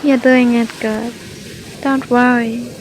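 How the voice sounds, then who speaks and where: Female meditation guide, high soft voice, extremely unhurried delivery, faint ambient noise behind her. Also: level tone. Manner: soft, gentle, soothing, with long pauses.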